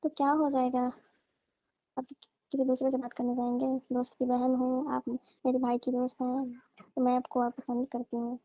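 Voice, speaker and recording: High-pitched young woman on a phone. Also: monotone, moderate pace, no echo. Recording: tinny narrowband phone line.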